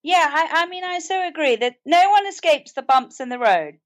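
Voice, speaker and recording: A British woman with a high-pitched voice talks as a guest in an interview. She explains things earnestly, in a conversational style. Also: animated, normal speed. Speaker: podcast guest, author and mental health advocate.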